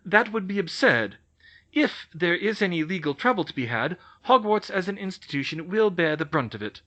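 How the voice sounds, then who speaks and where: A man's voice: high voice, big pitch swings, moderate pace, sharp tone, lo-fi sound, pitched above the performer's own voice, full character voice. A man voicing a stern older female headmistress who speaks icily and formally. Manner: crisp, icy.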